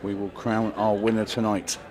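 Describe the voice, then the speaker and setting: Man calling pool, low voice. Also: little pitch movement, a crowd in the background. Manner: brisk play-by-play, dry asides. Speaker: pool commentator. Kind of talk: live sports commentary.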